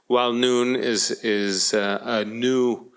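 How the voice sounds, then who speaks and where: A middle-aged American man speaking as a guest on a panel, choosing his words deliberately with halting phrasing. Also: mid-range voice, level tone, clean tone. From Florida, United States. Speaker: panel guest, company executive. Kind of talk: panel discussion, explanatory.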